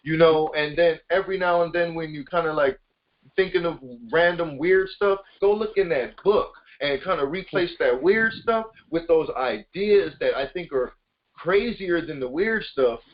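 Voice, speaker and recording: A man's voice: on the high side, moderate pace. A man hosting a casual motivational group call, speaking informally and hyping up his listeners directly. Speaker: conference call host. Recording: muffled lo-fi conference call.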